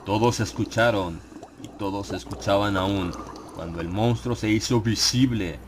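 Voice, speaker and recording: Guy with a deep voice reading a horror audiobook, solemn, lots of suspense, ambient effects going on behind him. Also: unhurried, background noise. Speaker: audiobook narrator. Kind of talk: dramatized horror audiobook narration. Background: atmospheric horror sound effects.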